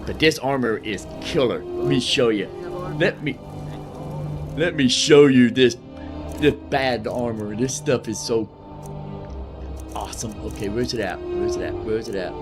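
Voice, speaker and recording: Male gaming host, mid-pitched, folksy and repetitive, casual play-by-play with clear music underneath. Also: measured pace, pauses often. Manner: repeats his phrases, folksy slang. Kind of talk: casual gameplay commentary.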